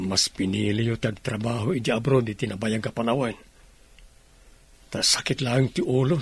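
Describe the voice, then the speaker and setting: Husky, low-voiced remorseful younger brother. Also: male voice, heavily acted. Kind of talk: radio drama acting.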